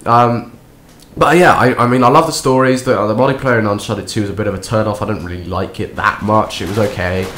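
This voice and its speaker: A young man, croaky and nasal from a cold, rambling through a sarcastic gameplay commentary. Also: fairly low pitch, steady tone, fairly quick, few pauses, a bit bright, a little hiss or hum. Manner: rambling, sarcastic, many restarts. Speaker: gaming commentator. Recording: echoey room with faint game sound.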